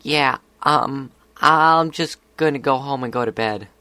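A man's voice: medium pitch, measured pace, bright, forceful tone, smooth, no room sound. A man voicing an anxious, downcast young female pony in a story reading. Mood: uneasy, evasive.

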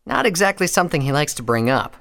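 A man's voice: on the high side, sing-song, quick pace, vocal fry, a bit bright, in a large hall, voice pushed up, exaggerated voice. A man voicing a good-natured, eager male pony in a story, friendly in manner. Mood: casual. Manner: friendly, enthusiastic.

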